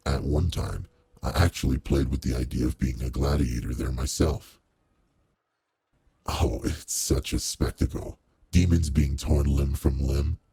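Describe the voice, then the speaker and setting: Man playing a very deep, gravelly demon lord. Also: dark tone, dry recording, heavily acted. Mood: suave, musing, then relishing, teasing. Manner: leisurely, suave, playfully teasing. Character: teasing aristocratic male demon lord, urbane and indulgent. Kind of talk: intimate roleplay audio drama.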